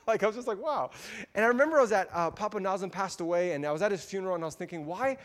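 Animated male preacher in a reverberant hall. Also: very fast. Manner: animated, acts out quotes with big pitch jumps. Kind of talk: storytelling sermon.

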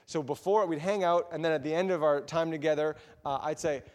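A man preaching a sermon, telling personal stories in a lively way and acting out what people said. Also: a little fast. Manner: animated, acts out quotes with big pitch jumps. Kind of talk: storytelling sermon. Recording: long hall reverb.

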